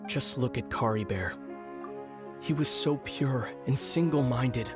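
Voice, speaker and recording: Guy acting as a grave soft-spoken young brother in a game, weary, faint music under him. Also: medium pitch, unhurried, lightly acted. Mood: solemn, reflective. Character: solemn young man, weary long-lost twin brother. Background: background music.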